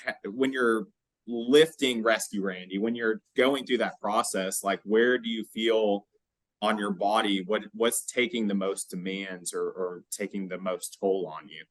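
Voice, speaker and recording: A man hosting a podcast, explaining exercise science in a conversational, halting way with frequent check-in questions. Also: fairly low pitch, steady tone, a bit bright, no room sound, low-quality recording.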